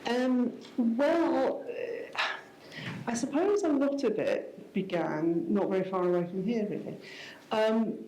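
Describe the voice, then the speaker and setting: A woman's voice: fairly high pitch, slow, warm tone. A woman in her sixties who grew up in Scotland, telling her story to an audience at a live event. She is dry and self-mocking, and she often stops and restarts her sentences. The sound is roomy, as in a hall. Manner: wry, self-deprecating, many restarts and fillers. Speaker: guest speaker. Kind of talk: conversational talk on stage.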